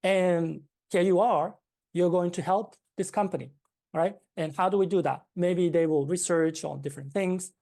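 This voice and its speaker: A woman's voice: lowish voice, normal speed, mellow. A woman from Japan with a Japanese accent, a founder being interviewed, explaining at length with many fillers and restarts. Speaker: startup founder, guest.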